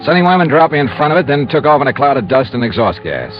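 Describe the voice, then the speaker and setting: A man's voice: mid-range voice, very animated, quick pace, faint music behind, lightly acted. An American man playing a wry, hard-boiled insurance investigator and narrator in classic radio detective drama, with dry wisecracks. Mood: brisk narration. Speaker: lead actor and first-person narrator. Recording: muffled, noisy vintage radio recording.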